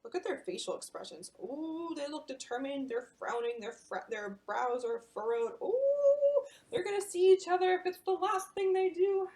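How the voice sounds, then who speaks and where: A woman librarian reading a picture book aloud at bedtime storytime and explaining it to children in an animated way. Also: high voice, moderate pace, poor audio.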